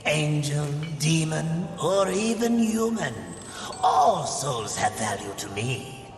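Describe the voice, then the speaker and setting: A sly demon merchant, unctuous and theatrical, swooping from raised tones downward at a slow pace. His flowery game patter echoes through a hall with music behind. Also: on the high side, sing-song. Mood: salesman's flourish. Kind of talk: video game character acting.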